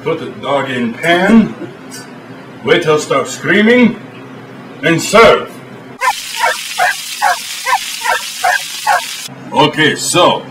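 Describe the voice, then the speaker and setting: An actor playing a blunt, deadpan foreign man who speaks in broken English and gives brutal how-to lessons, recorded in an echoey room from a distance with background noise. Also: mid-range voice, lightly acted. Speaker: comedy sketch character host. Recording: roomy echo, distant mic, ambient noise.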